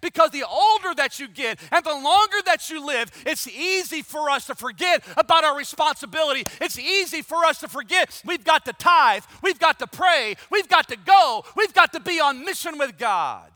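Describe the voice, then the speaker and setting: A man's voice: extremely high pitch, expressive, moderate pace, hardly any pauses, bright, forceful tone, no room sound, in a large hall. A man in his fifties preaching a sermon, reading scripture aloud and then exhorting his listeners with rising, emphatic force. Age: 50s. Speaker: pastor.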